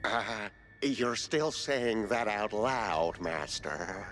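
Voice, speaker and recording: An actor playing an eccentric, servile lab caretaker, theatrical and rambling, in a cartoon game. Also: male voice, lowish voice, very slow, fairly bright tone, a little hiss or hum. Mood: cryptic, eerie. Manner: theatrical, babbling, obsequious.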